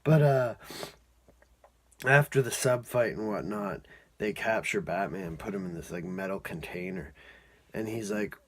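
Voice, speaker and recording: A man's voice: fairly low pitch, unhurried, pauses often, fairly bright tone. A man casually reviewing and recapping a cartoon episode in a rambling, informal, amused way.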